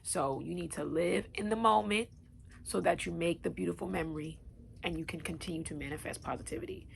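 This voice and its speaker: A young woman from Long Island with a New York accent, a podcast host with a deep, raspy voice, talking to her listeners in an earnest, motivational way. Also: fairly quick, a little hiss or hum. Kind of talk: casual solo podcast talk.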